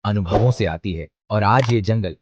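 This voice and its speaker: A man voicing a wise old male owl sage in a cartoon story, speaking in a measured, instructive way. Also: on the low side, lo-fi sound, heavy background noise, close to an everyday voice. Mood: calm, wise.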